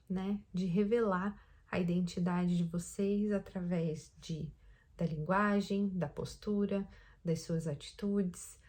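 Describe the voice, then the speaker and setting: A woman from Brazil hosting a devotional talk, speaking thoughtfully and gently, pausing often to find her words. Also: mid-range voice. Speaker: host of daily Lent reflection videos.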